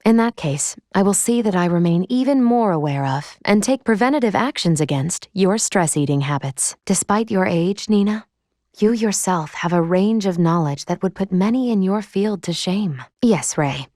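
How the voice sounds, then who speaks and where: A woman voicing a composed female android in a video game, speaking formally and precisely with a measured, deadpan delivery and dry care. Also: mid-range voice, no echo, lightly acted. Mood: composed, concerned, then polite, matter-of-fact. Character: composed female android crew member, formal and dryly caring. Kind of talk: scripted video game voice acting.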